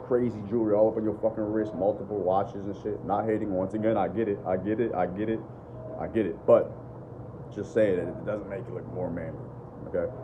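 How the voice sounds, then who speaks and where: A young man with a deep voice, talking blunt and profane off the cuff to his phone camera at home. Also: steady tone, a little fast, phone-quality sound, background noise. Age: young adult. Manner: blunt, profane, repeats "bro" and "bottom line". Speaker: dating and self-improvement vlogger. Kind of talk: unscripted casual talk to camera. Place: living room at home. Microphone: phone camera at arm's length.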